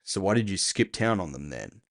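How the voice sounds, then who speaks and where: Man playing a low, gruff, impatient bounty hunter. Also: very animated, normal speed, full character voice. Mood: sardonic. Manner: terse, sardonic, commanding.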